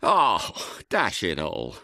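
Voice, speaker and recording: A man voicing a suave, confident British spy car in a video game, polite and clipped, with theatrical gentlemanly delivery. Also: low voice, huge pitch swings, drawn-out words, creaky, dry recording, studio quality, exaggerated voice. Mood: dismayed. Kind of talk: scripted game character catchphrases.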